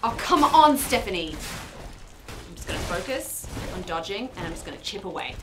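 Lively, chatty woman gaming at her desk. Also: lowish voice, normal speed, noisy background. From New Zealand. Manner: chatty, self-mocking, exclaims at the game. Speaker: gaming video host. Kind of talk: casual let's-play commentary. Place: small decorated room indoors.

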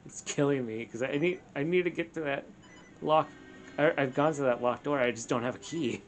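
A gamer with a mid-range, crackly voice talks casually through play. They mutter to themselves and swear, with clear game music running behind. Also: medium pitch, a little fast. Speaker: gamer on a let's play show. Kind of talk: casual gameplay chat. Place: indoors, room with a TV screen. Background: video game music.

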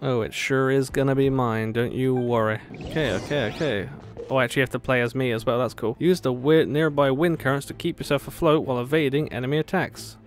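Man, casual gaming commentary, playful, sardonic, clear game music behind. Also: mid-range voice, brisk. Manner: playful, sardonic asides and sing-song babbling. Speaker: gamer commentating a playthrough.

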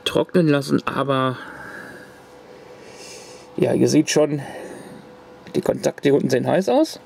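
A man's voice: medium pitch, measured pace, vocal fry, a little mellow, slight background noise. A man casually showing a corroded circuit card to the camera at his home workbench, rambling with restarts and fillers. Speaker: retro computer hobbyist. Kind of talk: casual show-and-tell vlog.

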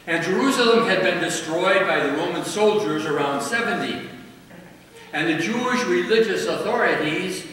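Older priest preaching slowly, high voice. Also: male voice, a bit bright, a little hiss or hum. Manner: slow, measured preaching with dramatic pauses. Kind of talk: sermon. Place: large church. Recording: echoey church from far off.

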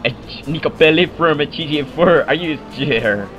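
A man's voice: on the high side, normal speed, muffled recording, music in the background. A man joking around in game voice chat on a headset, mumbling singsong bits and mock radio-host lines. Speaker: voice chat player. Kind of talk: goofy game voice chat banter.

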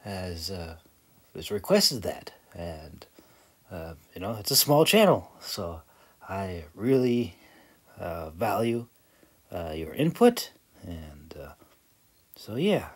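A man's voice: deep voice, big pitch swings, takes it slowly, pauses often, breathy edge. A man giving a casual, rambling vlog voice-over in a hesitant, self-deprecating, chuckling way. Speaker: video creator and hobby audiobook narrator.

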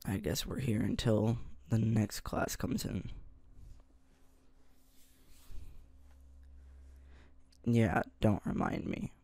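Man acting a gravelly, deep-voiced tsundere high school boy. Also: steady tone, a little mellow, dry recording. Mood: annoyed, grumbling. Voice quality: low, gravelly. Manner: halting, grumbling. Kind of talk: ASMR roleplay, one-sided dialogue to listener. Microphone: close mic, dry.

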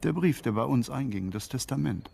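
An actor playing a cynical, smug newspaperman with a gravelly, husky voice and a theatrical, mocking delivery in a radio play. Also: medium pitch, expressive, normal speed, mellow, exaggerated voice. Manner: sardonic, theatrical.

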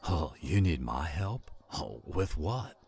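A man voicing a laid-back but excitable werewolf handyman in a roleplay audio drama, drawing out his dude-style slang. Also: extremely low pitch, creaky, exaggerated voice. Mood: eager, excited. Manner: surfer-dude slang, drawn-out enthusiasm.

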